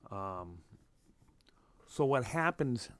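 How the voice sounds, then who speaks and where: A man from New England hosting a casual, unscripted livestream, halting and repeating himself as he thinks aloud. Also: medium pitch, very animated, a bit bright, dry recording. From New England, United States. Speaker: livestream host, radio scanner hobbyist. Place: room at home. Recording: noticeable background noise, home livestream.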